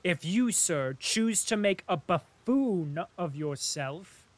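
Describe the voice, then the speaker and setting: A proud young officer, played by a man reading a novel aloud, speaking sharply with indignant force. His voice sits a little above the reader's own, louder and clipped, with a slight tremor of anger in it. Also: high-pitched, lively intonation, slow pace. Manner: sharp, indignant, trembling jaw. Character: stern proud young male officer, angry.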